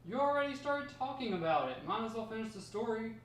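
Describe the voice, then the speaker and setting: A man's voice: extremely high pitch, big pitch swings, fast, a bit bright, slight background noise, full character voice. A man reading the part of a curious, persistent grandson questioning his grandfather at a script table read. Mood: insistent. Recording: distant, very echoey room.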